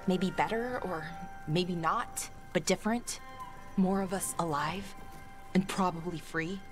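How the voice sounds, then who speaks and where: Music plays under a woman acting as a young elven adventurer from a fantasy game. She is earnest and wistful, and she thinks aloud in halting, reflective phrases. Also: lowish voice, lightly acted. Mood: wistful, wondering. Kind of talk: video game dialogue acting.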